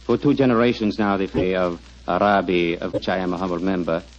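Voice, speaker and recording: An actor playing a courteous, devoted man with a formal, measured manner in a 1940s radio drama. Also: low voice, fairly even intonation, natural voice. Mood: solemn. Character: solemn, courteous, devoted Egyptian man on a quest. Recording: muffled, lo-fi 1940s radio recording.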